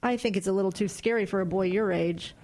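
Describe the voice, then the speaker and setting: A woman's voice: mid-range voice, fast talker, a little mellow, lightly acted. A woman playing a strict, worried mother who scolds her son. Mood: scolding. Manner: scolding, exasperated. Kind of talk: horror audio drama.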